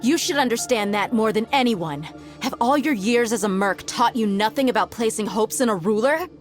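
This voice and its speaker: A woman's voice: on the high side, lively intonation, moderate pace, soft background music, close to an everyday voice. A woman voicing a curt, guarded young woman in a game cutscene. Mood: urging. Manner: curt, dismissive.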